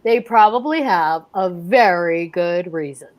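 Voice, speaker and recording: Woman as a sensible husband, very animated. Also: lowish voice, a little slow. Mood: resigned, reasoning. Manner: reasoning, mildly exasperated. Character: sensible, resigned husband of a fretful wife. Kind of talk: old time radio comedy acting.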